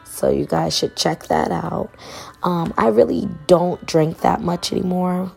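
Podcast host, female, hoarse, loose rambling delivery, very gravelly, faint music under. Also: lowish voice, measured pace. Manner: rambling, relaxed, colloquial. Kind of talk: casual solo podcast chat.

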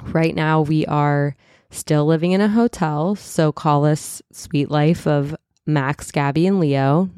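Stuffy, slightly raspy woman in her thirties. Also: sits low, takes it slowly, a little mellow, no room sound, clean studio sound. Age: 30s. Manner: chatty, many "like"s and self-corrections. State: stuffy and a little raspy from a cold. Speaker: podcast host and wellness coach. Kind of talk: casual solo podcast chat.

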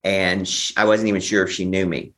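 A man giving a personal account as an interview guest, speaking haltingly, often repeating and restarting his phrases. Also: low voice, flat delivery, fairly bright tone. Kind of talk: personal recovery storytelling.

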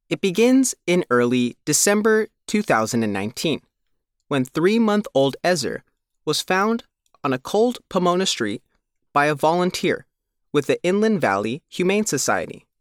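A man reading a scripted news feature as its reporter, in measured, deliberate short phrases. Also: fairly high pitch, no room sound, clean studio sound. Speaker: reporter reading his own written feature.

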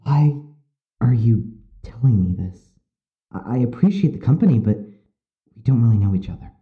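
An actor playing an uneasy young male psychiatric patient in an audio drama, halting and unsure as he talks. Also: mid-range voice, animated, husky, dry recording, narrow, tinny audio. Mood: hesitant, puzzled. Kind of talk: audio drama acting, confiding.

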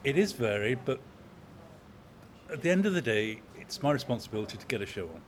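A man in his sixties, an opera company manager, talking in a measured, anecdotal way in an interview. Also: medium pitch, very animated. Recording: crowd chatter in background.

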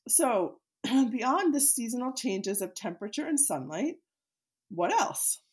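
A woman's voice: a little high, measured pace, slightly rough. A woman around forty hosting her own podcast, talking casually and teaching, with a scratchy voice from a cold. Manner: chatty, animated, laughs easily, occasional fillers. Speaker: podcast host and therapist. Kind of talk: solo podcast talk, teaching.

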